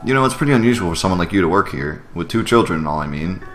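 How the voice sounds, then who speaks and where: Man acting inquisitive professional visitor, politely probing, low-pitched, video game dialogue, music underneath. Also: animated, very fast, fairly bright tone. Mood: curious. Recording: music behind the voice.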